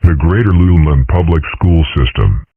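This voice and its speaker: A man voicing a serene, eccentric old dragon father in a cartoon comic dub, husky and measured like a sage. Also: low voice. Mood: placid. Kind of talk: comic strip dub acting. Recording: tinny phone-like band-limited audio.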